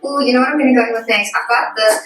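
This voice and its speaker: A woman's voice: on the high side, steady tone, rapid-fire, fairly bright tone. Bubbly South African woman in an echoey room. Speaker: YouTube host. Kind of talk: casual makeup vlog chat. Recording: very echoey room, mic at a distance, music behind.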